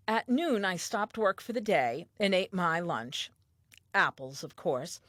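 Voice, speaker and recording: A woman's voice: lowish voice, a bit bright, no room sound, studio quality. An actress playing a tender, wondering first woman reading her diary in an audio play, reflective and earnest. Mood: blissful.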